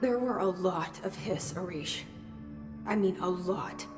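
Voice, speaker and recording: A woman's voice: on the low side, a little slow, natural voice. A woman acting as a composed, dry, matter-of-fact investigator in a video game, with an understated manner. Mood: dry, wry. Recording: roomy echo, music underneath.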